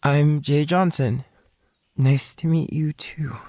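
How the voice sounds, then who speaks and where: Shy young male writer played by a man, guarded and halting, very slow, slightly high voice, audio drama. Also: steady tone, a little mellow, no echo, studio quality. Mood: guarded, nervous. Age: young adult. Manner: hesitant, halting, reserved.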